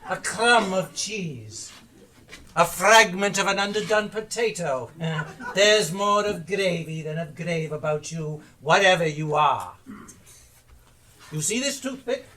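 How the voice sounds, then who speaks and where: Man acting an old miser, blustery and nervy, high sharp voice, theatrical stage play, recorded from a distance. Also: expressive, slow, full character voice. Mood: scoffing. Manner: blustering, stammering when frightened. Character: skeptical, blustering old miser, frightened and pleading.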